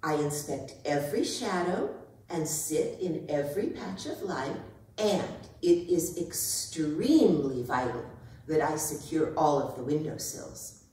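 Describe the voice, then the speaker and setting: A woman's voice: low voice, animated, measured pace, mellow. A woman playing a stubborn, indignant pet cat in a staged reading, speaking in a pompous, formal way with precise diction. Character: haughty, stubborn, indignant female pet cat named Callie. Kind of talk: theatrical staged dramatic reading. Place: arts centre performance space. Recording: echoey hall, distant microphone.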